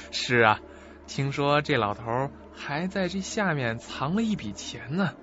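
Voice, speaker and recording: A man's voice: medium pitch, expressive, unhurried, pushed and bright, dull audio, slight background noise. A man playing a greedy, crooked lawyer in a suspense radio play, with an oily, ingratiating manner. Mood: gloating. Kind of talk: audio suspense drama acting. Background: music.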